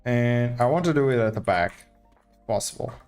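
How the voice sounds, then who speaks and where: Young male streamer talking through his build, mid-pitched, faint music bed. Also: expressive, moderate pace, a little mellow, clean tone. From Portugal. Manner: thinking aloud while building, casual and chatty. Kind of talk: live gameplay commentary.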